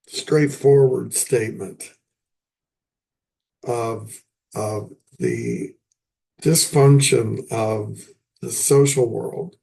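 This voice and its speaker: An older man hosting a poetry lecture, his voice hoarse and raspy after an illness, talking haltingly with many pauses and fillers. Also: mid-range voice, dark tone.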